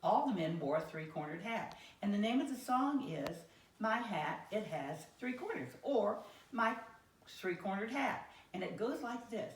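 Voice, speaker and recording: An American woman telling a story to young children in a simple, deliberate teacherly way, with questions to her listeners. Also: mid-range voice, lively intonation. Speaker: children's teacher reading aloud. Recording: roomy echo, distant microphone.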